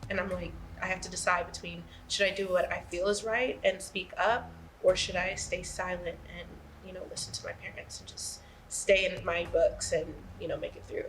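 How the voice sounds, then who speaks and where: A woman's voice: on the low side, steady tone, normal speed, a bit bright, a little hiss or hum, rough recording. A young woman, a student actor, giving earnest answers in an interview. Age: young adult.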